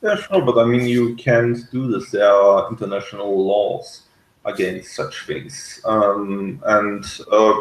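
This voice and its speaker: A man with a fairly low voice reviews a TV episode in a casual, analytical way. He talks very slowly and haltingly, searching for his words. The recording is roomy and echoing. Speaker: podcast reviewer.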